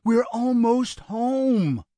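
Man acting a high, anxious, pleading crook. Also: unhurried, dry recording, studio quality. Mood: pleading, soothing. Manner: urgent, pleading. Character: anxious, pleading criminal man, the panicky brother.

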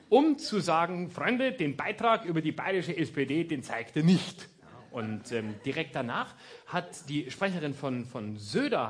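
A man performing stand-up comedy on a live stage, sarcastic and teasing the audience. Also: on the high side. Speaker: stand-up comedian hosting a show.

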